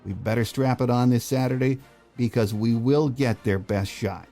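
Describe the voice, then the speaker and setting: A man's voice: medium pitch, normal speed, mellow, soft background music. An American man hosting a sports podcast, delivering commentary and interview questions. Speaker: podcast host.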